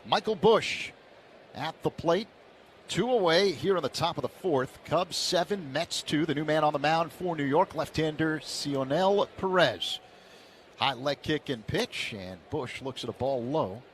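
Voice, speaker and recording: A man's voice: a little high, expressive. Man calling baseball on radio. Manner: measured, rising with the action. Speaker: play-by-play announcer. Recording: broadcast with clear background noise.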